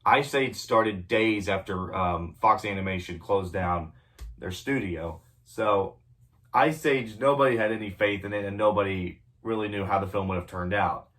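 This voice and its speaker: A man's voice: on the low side, moderate pace, fairly bright tone. A young adult man with a cold, reviewing movies in a casual, rambling way, full of ums and self-corrections. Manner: rambling, frequent ums and self-corrections, clears throat often. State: sick with a cold, voice a bit off. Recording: voice a bit distant from the mic.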